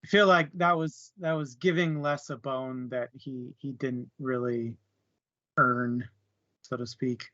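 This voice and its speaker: A man's voice: medium pitch, steady tone, slow pace, pushed and bright, dry recording, muffled recording. A man casually discussing a book as a co-host, hesitant and searching for words, with small chuckles. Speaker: book club podcast co-host.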